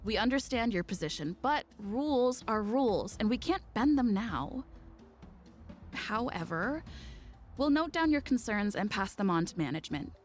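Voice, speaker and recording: A woman's voice: fairly high pitch, sing-song, normal speed, faint music behind. An actress playing a confident, crafty young female negotiator in a video game, composed and assertive in delivery. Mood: firm, polite.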